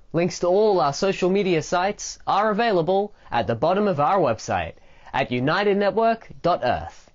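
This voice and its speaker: A woman's voice: on the low side, highs cut off. A woman reading a scripted promo in an upbeat manner. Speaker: promo announcer.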